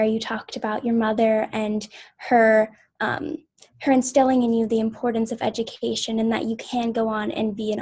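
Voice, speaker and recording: A woman hosts a conversational interview. Her voice sits a little high and stays quite flat in intonation as she responds and poses questions. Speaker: interviewer, podcast host.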